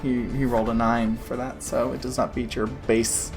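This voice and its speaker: A woman's voice: rumbling low, little pitch movement, normal speed, over music. A woman narrating a tabletop game as game master, loose and giggly with lots of fillers.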